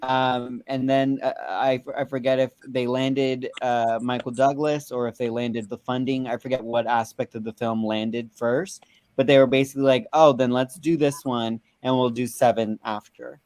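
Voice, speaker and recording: A man's voice: medium pitch, level tone, moderate pace, darkish tone. A man in a casual film discussion, rambling and repeating words as he thinks aloud. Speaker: film review panelist.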